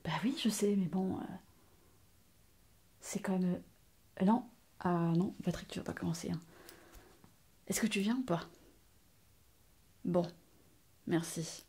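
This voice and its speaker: A woman playing a mildly exasperated hospital doctor in a soft, often whispered ASMR roleplay, speaking hesitantly. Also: lowish voice, takes it slowly, pauses often, breathy edge, heavily acted. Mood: pleading, hesitant. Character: female hospital doctor, mildly exasperated with her colleague, coaxing.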